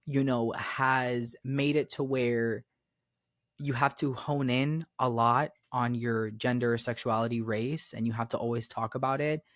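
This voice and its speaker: A man's voice: mid-range voice, steady tone, a little slow, no echo. Rambling young man, dry close mic. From Florida, raised in Miami. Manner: rambling, repeats phrases, many fillers. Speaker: podcast guest. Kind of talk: casual conversation.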